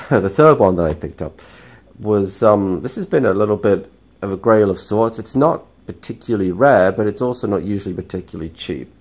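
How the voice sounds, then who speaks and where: A man hosting a casual record haul vlog, talking in a chatty, rambling way with lots of ums, his voice croaky and stuffy from a cold. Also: lowish voice, expressive, brisk, darkish tone. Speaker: vinyl collecting vlogger.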